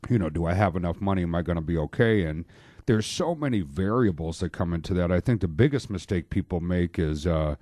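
Male radio host, dark low-ish voice, chatty and casual, dry studio mic. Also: expressive, brisk, dry recording. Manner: chatty, joking, many fillers. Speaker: radio show host, financial planner. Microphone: studio microphone.

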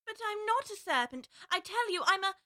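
Woman doing a bright little girl voice, earnest and polite, bouncy high pitch, storybook reading. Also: animated, moderate pace, full character voice.

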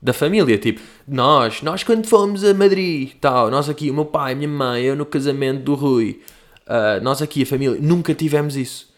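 A man's voice: mid-range voice, normal speed. A young man from Portugal with a European Portuguese accent, rambling and self-mocking in slangy casual chat as a comedian host answering questions. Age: young adult. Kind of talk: casual monologue.

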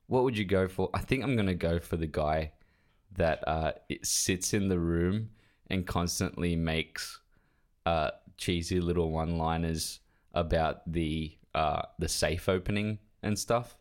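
A man's voice: sits low, unhurried. A man co-hosting a podcast, talking casually about films and searching for his words as he goes. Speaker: podcast co-host. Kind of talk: casual film discussion.

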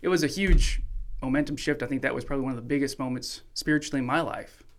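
A man who grew up in Mexico, a podcast guest calmly telling his faith story in a relaxed, reflective way. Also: mid-range voice, fast, a little hiss or hum. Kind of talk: casual testimony sharing.

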